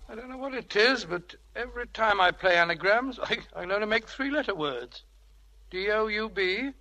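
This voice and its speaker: An actor playing an amiable, childlike upper-class English gentleman who stammers and blusters, in a 1940s radio drama. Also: sits high, lively intonation, normal speed. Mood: puzzled, genial. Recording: vintage radio broadcast with rough audio.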